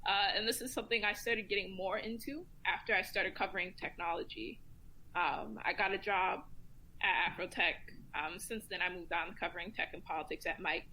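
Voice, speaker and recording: A woman speaking as a guest commentator in a casual round-table discussion, relaxed and chatty with many ums and likes. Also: on the low side, a little fast, slight background noise, rough recording.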